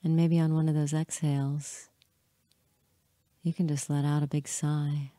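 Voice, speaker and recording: A woman leading a hypnotic guided meditation in a soothing, measured way, pausing often between short phrases. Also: sits low, flat delivery, mellow, no room sound, studio quality. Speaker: hypnotist guiding listeners.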